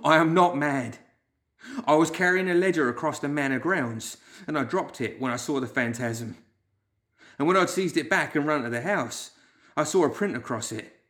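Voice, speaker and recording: A man voicing a frightened, obsessive clerk in a story, whimpering and wailing in drawn-out, fearful speech. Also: medium pitch, lightly acted. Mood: desperate, wailing.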